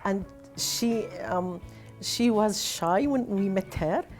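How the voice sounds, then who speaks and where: A woman's voice: mid-range voice, takes it slowly, darkish tone, faint music behind. A woman giving an account of someone she met, speaking as an interviewee in a documentary.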